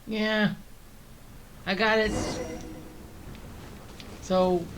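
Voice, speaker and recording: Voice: medium pitch, little pitch movement, extremely slow, background noise. A gaming streamer giving casual commentary, thinking aloud in short muttered phrases while playing. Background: game music.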